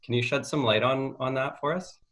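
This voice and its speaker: A man's voice: mid-range voice, brisk, a bit bright. A man hosting an interview, asking careful, curious questions. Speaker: interviewer host.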